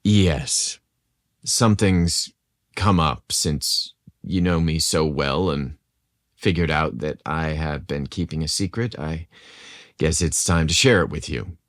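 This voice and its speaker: Male narrator playing rugged charmer in a romance story, very low, slow, heartfelt. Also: no echo, clean studio sound, exaggerated voice. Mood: hesitant confession. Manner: halting, heartfelt. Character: rugged, charming construction manager, devoted lover. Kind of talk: romantic audio story dialogue.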